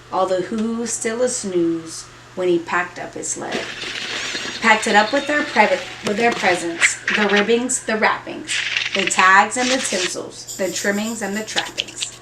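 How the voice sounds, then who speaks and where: A woman's voice: fairly high pitch, noisy background. A woman reading a rhyming children's story aloud, expressively, with singsong rhythm and dramatic emphasis. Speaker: storybook reader. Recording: roomy echo with music behind.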